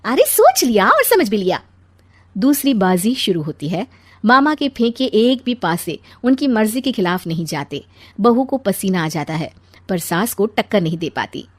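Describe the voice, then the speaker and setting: Lively female story narrator. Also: mid-range voice, normal speed, dry recording.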